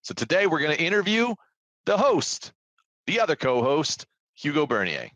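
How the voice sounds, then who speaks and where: A man's voice: a little high, moderate pace, no room sound. A man hosting the show and interviewing his co-host, joking around and mocking himself in a playful way. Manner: jokey, animated, self-mocking.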